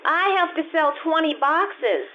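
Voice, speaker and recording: An earnest, insistent twelve-year-old girl played by a woman talks in a very high voice. She sounds proud and determined, in old-time radio drama style, on a slightly dull, lo-fi recording. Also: expressive, a little slow, slight background noise. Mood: guarded, stubborn. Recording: muffled, lo-fi radio-play recording.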